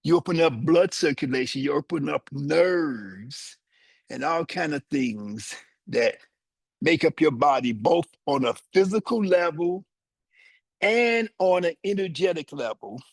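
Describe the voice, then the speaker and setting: A man in his seventies teaching yoga, guiding students through their body and explaining the practice in a slow, deliberate, explanatory way with short pauses. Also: fairly high pitch, expressive, creaky, husky, dry recording. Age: 70s. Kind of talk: guided yoga instruction with teaching asides.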